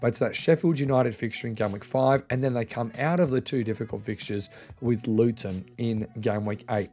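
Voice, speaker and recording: A man's voice: medium pitch, mellow. A man in his forties hosting his fantasy football channel, talking straight to his viewers in an upbeat, direct way, recorded close to the mic in a small room, his voice just recovered after being lost. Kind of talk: solo video commentary to camera.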